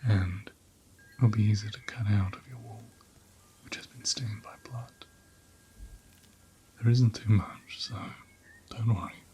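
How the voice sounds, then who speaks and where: A man playing a gentle, caring ram in an ASMR roleplay, speaking in a soft, breathy half-whisper with a soothing, tender manner. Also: on the low side, fairly even intonation, a little slow, long pauses, slight background noise, close to an everyday voice. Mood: soothing, reassuring. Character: gentle, caring male ram tending an injured lamb.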